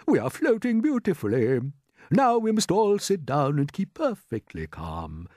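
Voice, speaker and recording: A storyteller voicing a kindly old male grasshopper who leads the group and keeps everyone calm with a cheerful, slightly grand manner. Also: mid-range voice, normal speed, dry recording, clean studio sound, voice pushed up. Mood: reassuring. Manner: cheerful, soothing, a bit grand.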